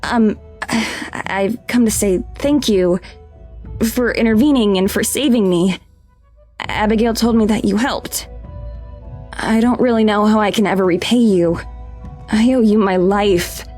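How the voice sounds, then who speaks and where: A woman's voice: mid-range voice, measured pace, pauses often, a little mellow, faint music behind, natural voice. A woman playing an earnest, unsettled young woman in a visual novel, speaking in a hesitant, sincere, conversational way. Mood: awkward gratitude.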